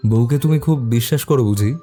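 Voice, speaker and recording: A man voicing a calm, courteous private detective in an audio story, measured and quietly probing. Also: medium pitch, soft background music, natural voice. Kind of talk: audio story character acting.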